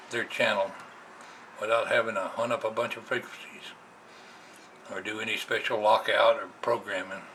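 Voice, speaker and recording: A man's voice: medium pitch, pushed and bright. An American man giving a casual, plainspoken explanation as a hobbyist vlogger, recorded indoors on a camera's built-in microphone. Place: indoors, at a computer. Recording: noisy amateur camera recording.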